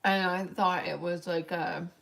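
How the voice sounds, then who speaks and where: A young woman with a raspy voice, tired and a little worn at a late hour, chatting in a rambling, filler-heavy way as she answers her stream's chat. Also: on the low side, fairly even intonation, measured pace, fairly bright tone, a little hiss or hum. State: tired, voice wearing thin late at night. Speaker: livestreamer. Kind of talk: late-night casual stream chat, reading chat aloud.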